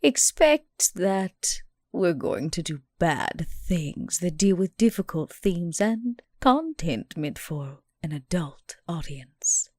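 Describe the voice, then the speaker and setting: A woman giving a theatrical content warning in a slow, hushed, dramatic delivery with whispered phrases. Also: lowish voice, big pitch swings, no echo, clean studio sound.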